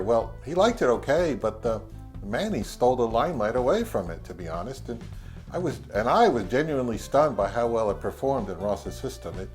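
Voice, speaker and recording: A man's voice: mid-range voice, a little mellow. A man speaking as the host of an audio review, interviewing and narrating.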